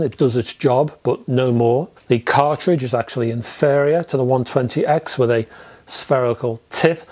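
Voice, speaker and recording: A middle-aged British man presents a review to camera. His voice sits at an average male pitch, and he speaks in measured, descriptive sentences. Key phrases get deliberate emphasis. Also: a little mellow. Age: 50s. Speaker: product reviewer and host. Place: indoor room with record shelves.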